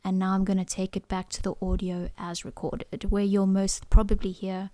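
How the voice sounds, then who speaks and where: A woman's voice: medium pitch, flat delivery, moderate pace, dark tone. A woman casually reviewing desk microphones from a small home room, chatty and a little scatterbrained, still recovering from being ill, talking close to a condenser mic. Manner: chatty, self-correcting, a bit scatterbrained. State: recovering from illness. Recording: mic tests with varying reverb and noise.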